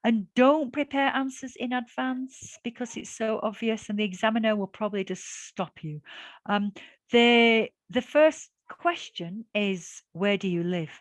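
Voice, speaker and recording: A woman's voice: a little high, unhurried, dry recording. A woman teaching an online exam-prep class, talking in a chatty, encouraging way with many fillers and audible breaths. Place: video call class.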